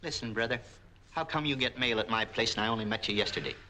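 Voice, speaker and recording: An actor playing a suspicious, tight-fisted small-time trader, American, blunt and slangy in 1940s film noir style. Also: male voice, mid-range voice, fast, sharp tone, lightly acted. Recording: noisy old 1940s film soundtrack.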